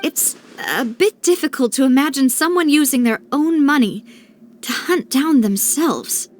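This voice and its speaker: A woman's voice: sits high, a little slow. A woman playing a composed, earnest young crime family heiress in a video game cutscene, polite and pensive in her delivery. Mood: troubled, reflective. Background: background music.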